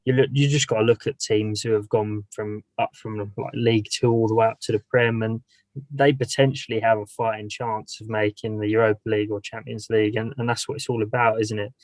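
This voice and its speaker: A man in his twenties, a football fan, giving an earnest documentary interview in quick bursts. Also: on the low side, no echo, rough recording. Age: 20s.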